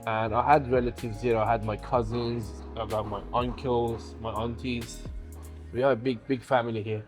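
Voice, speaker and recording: A man's voice: medium pitch, level tone, moderate pace, over music. A young man from Iran, a native Farsi speaker, chatting casually in an interview about his life. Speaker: bodybuilder.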